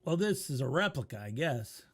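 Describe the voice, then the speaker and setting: A man's voice: medium pitch, big pitch swings, moderate pace, a bit bright, breathy edge. A man hosting a casual storytelling stream, speaking conversationally with some swearing and repeating himself for emphasis. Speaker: storyteller and host reacting to a video.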